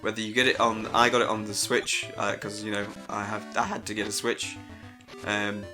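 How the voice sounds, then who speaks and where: A man's voice: fairly low pitch, level tone, normal speed, over music. A man hosting a casual, unscripted gaming audio log, rambling with hesitant but enthusiastic, informal, sweary delivery.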